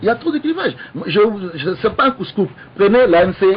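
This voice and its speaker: A man, an opposition politician debating on the radio, halting with many restarts but insistent. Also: high voice, sing-song, breathy edge, dull audio. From Togo.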